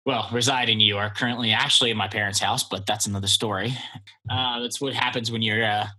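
Husky-voiced Polish-born man. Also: lowish voice, brisk, poor audio. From Poland. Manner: relaxed, rambling, with many fillers. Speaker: guest entrepreneur. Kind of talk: casual interview talk.

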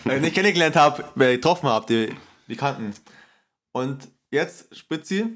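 A man's voice: mid-range voice, moderate pace. A man co-hosting a podcast, chatting casually and telling stories with frequent laughter. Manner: laughs often, animated storytelling. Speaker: podcast co-host. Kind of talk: casual chat.

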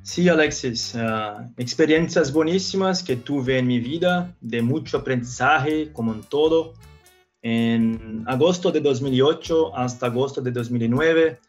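Brazilian man speaking halting Spanish. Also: medium pitch, lo-fi sound, soft background music. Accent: Brazilian Portuguese. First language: Portuguese. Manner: halting, with frequent restarts. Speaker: guest. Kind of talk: casual interview talk.

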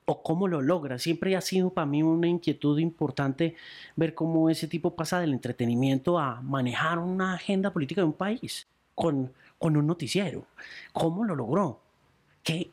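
A man's voice: on the high side, normal speed. A man hosts a conversational podcast. He asks questions casually and with curiosity, often circling back to rephrase them. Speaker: podcast host. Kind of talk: podcast interview.

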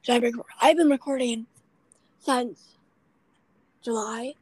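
Raspy young woman, high and dry. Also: slow, no echo, rough recording. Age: late teens. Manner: rambling, self-deprecating, many fillers. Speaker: podcast host. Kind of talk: casual chat.

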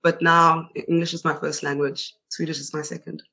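A woman's voice: sits low, little pitch movement, normal speed, a crowd in the background. A young South African woman with a South African accent, chatting as a guest in a casual interview and laughing often.

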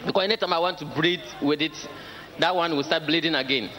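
Halting Ghanaian man with a highish voice. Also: sharp tone. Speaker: congregant giving testimony. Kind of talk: halting spoken testimony. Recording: noisy outdoor crowd. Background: crowd noise.

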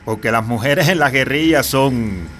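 An actor playing a welcoming, inquisitive revolutionary official in a radio drama. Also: male voice, medium pitch, unhurried, a bit bright, slight background noise, natural voice. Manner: courteous, probing.

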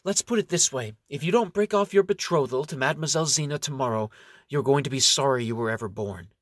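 A man's voice: medium pitch, quick pace, a bit bright, no room sound, clean studio sound, close to an everyday voice. A man voicing an angry young female elf who snaps threats and gives orders. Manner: snappish, threatening.

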